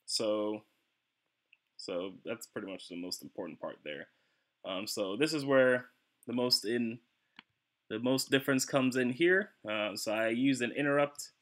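A man's voice: mid-range voice, normal speed, long pauses, a bit bright. A young man giving a casual, informal tutorial walkthrough as a hobbyist demonstrator, a little hesitant as he goes. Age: young adult. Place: small indoor room at a desk. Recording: faint background noise, clicks.

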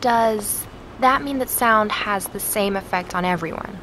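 A woman's voice: medium pitch, a little slow, noisy background, close to an everyday voice. A woman playing an inquisitive, stubborn podcast investigator in a naturalistic, conversational audio drama. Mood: curious. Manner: questioning, conversational.

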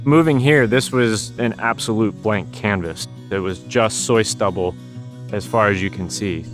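A man's voice: on the low side, measured pace, music in the background. A man speaking as a farmer in a documentary interview.

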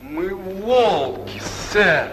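Sullen, obedient cartoon wolf: slow, blunt, highish voice with swinging pitch, vintage film sound. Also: male voice, lively intonation, a little hiss or hum, exaggerated voice. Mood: servile. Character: sullen, obedient, hungry male wolf henchman. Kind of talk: 1940s Soviet animated film acting. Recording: echoey old film soundtrack, loud music.

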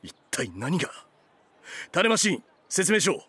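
An actor playing a stern, exasperated workaholic retainer who speaks formally and curtly in a comic anime drama. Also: male voice, a little high, very animated, measured pace, sharp tone, breathy edge, no echo, full character voice. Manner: formal, curt, businesslike. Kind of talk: anime voice drama comedy acting.

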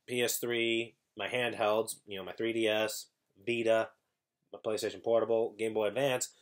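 A young man vlogging at home to his webcam, sounding congested with an irritated throat, rambling with many restarts and self-corrections. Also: on the low side. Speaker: YouTuber. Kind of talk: casual vlog talk to camera. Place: small room at home, indoors.

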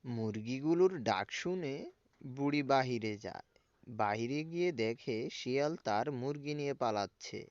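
A man's voice: mid-range voice, lively intonation, measured pace, darkish tone, a little hiss or hum. A man reading a scripted children's folk tale as narrator, in slightly lo-fi sound. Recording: dull lo-fi sound.

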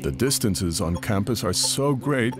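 A man reading scripted documentary narration in a measured, informative way. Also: fairly low pitch, animated. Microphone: studio voice-over. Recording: narration over clear music. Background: music bed.

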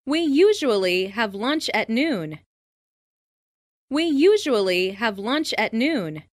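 A woman reading short example sentences with careful, deliberate enunciation for a listening lesson, in a dry studio voice-over. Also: fairly high pitch, sing-song. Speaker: listening practice speaker. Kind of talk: slow read example sentences.

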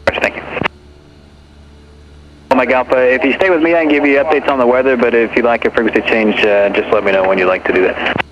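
A man's voice: mid-range voice, fairly even intonation, fast talker. A man working as an air traffic controller, giving procedural calls over aviation radio. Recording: dull, noisy aviation radio transmission.

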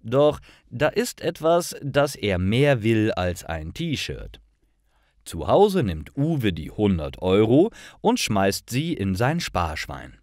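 Male storyteller for language learners: deliberate, highly animated intonation, dry close recording. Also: no room sound. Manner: deliberate, carefully phrased. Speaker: narrator of stories for language learners.

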